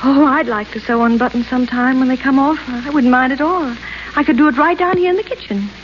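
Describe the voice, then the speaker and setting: An actress playing a dreamy, lovesick young heiress who gushes wistfully, in a hissy 1930s radio broadcast. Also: female voice, high-pitched, brisk, dull audio, lightly acted. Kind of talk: 1930s radio play acting.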